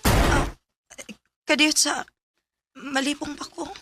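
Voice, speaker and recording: A woman's voice: high-pitched, level tone, slow pace, very noisy. An actress playing a sweet young female college student in a Filipino radio drama. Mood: weak, protesting.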